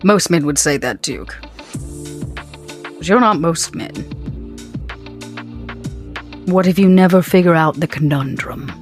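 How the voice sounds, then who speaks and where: A woman voicing a poised, probing female vampire with formal, coy, deliberate phrasing in improvised roleplay. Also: sits low, lively intonation, soft background music. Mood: probing, intimate. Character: poised, probing, elegant female vampire businesswoman named Vera.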